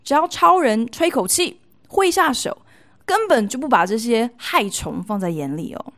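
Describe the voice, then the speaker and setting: A woman with a Taiwanese Mandarin accent hosting a podcast, telling stories chattily with playful, expressive emphasis. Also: on the high side, normal speed. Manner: expressive, playful emphasis with colloquial sentence-ending particles. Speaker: podcast host. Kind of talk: chatty storytelling monologue to listeners.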